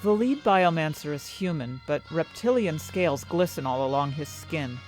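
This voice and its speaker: A woman's voice: low voice, animated, normal speed, darkish tone, soft background music, poor audio. A woman acting an anxious, desperate young heroine who tells her own fantasy story in intense, dramatic first-person narration. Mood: uneasy. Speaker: narrator and main character of an audio fiction story.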